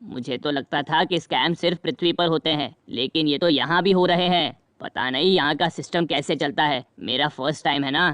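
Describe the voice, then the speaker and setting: A man's voice: a little high, moderate pace, few pauses, a bit bright, clean tone, dry recording, close to an everyday voice. A man voicing an anxious, pleading dead husband and father in a cartoon, nervous and wheedling in manner. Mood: anxious, puzzled. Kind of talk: cartoon voice acting.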